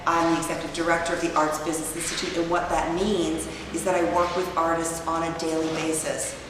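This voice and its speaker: A hoarse woman presenting a business workshop in an open, inviting way, sometimes trailing off and restarting. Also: fairly low pitch. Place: event hall in an arts building. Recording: echoey hall, mic far off, background noise.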